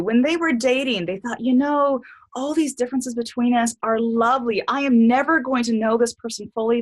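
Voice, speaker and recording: A woman hosting an interview, reacting with enthusiasm and thinking through her questions out loud. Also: fairly high pitch. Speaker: interview host.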